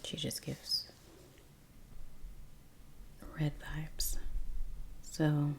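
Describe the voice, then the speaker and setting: A woman talking in hushed, mostly whispered ASMR style while painting, unhurried with long pauses. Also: sits low, gravelly. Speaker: ASMR artist and video host. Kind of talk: soft-spoken ASMR chat while painting. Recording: steady heater hum in background. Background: heater hum, soft brush sounds.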